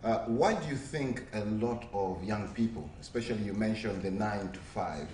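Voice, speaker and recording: Rambling low-voiced man from Zambia hosting. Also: moderate pace, a little mellow. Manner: chatty, rambling, hesitant fillers. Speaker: TV show host. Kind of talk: interview questions. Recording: echoey room, distant mic, faint noise.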